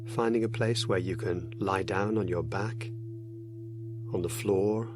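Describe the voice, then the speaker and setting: A man narrating a guided meditation, giving gentle, unhurried instructions with many pauses. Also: lowish voice, fairly even intonation, over music.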